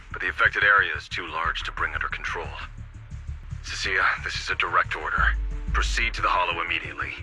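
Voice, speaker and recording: Game casting: senior security officer, very low and crisp-edged, formal, measured, drily commanding, music underneath. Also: male voice, sharp tone. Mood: urgent, commanding. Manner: measured, formal, politely pointed. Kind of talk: English video game dub voice acting.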